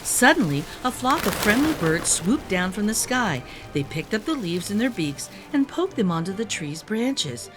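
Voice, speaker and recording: A woman reads a children's story aloud with careful, expressive delivery. Her mid-pitched voice moves in lively swings over background music. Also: normal speed, hardly any pauses. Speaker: children's book narrator.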